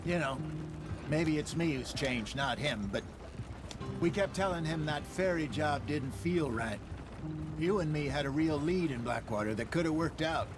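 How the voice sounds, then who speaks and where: An actor playing an aging, worried American outlaw elder in a video game, folksy, wry and measured. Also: male voice, on the high side, lively intonation, over music, close to an everyday voice. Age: older.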